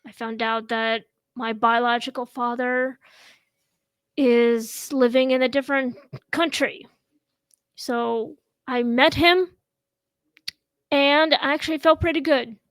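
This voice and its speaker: Slow, high-voiced woman in her thirties. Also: steady tone, clean tone, dry recording. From Russia. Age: 30s. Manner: slow, halting, with many pauses and fillers. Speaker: host. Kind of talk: casual chat. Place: at home.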